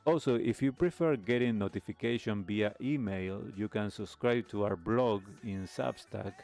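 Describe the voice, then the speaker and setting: A man hosting a live stream radio show, speaking reflectively in a measured, halting way with pauses. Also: lowish voice, creaky, a little mellow. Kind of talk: reflective host talk, signing off a live stream. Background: music playing under the voice.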